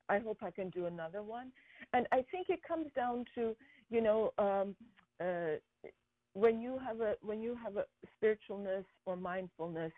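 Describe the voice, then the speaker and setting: A woman whose first language is Persian, a guest talking earnestly about her charity work, with many pauses and fillers. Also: mid-range voice, dry recording. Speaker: guest, school founder. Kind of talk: interview conversation. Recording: tinny phone line.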